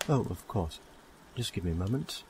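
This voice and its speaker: Guy playing a fantasy innkeeper, lowish voice, polite and bit bemused, faint noisy ambience. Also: animated, normal speed. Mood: businesslike, bemused. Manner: polite, businesslike, mildly hesitant. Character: polite, slightly bemused male tavern keeper. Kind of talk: fantasy audio drama acting.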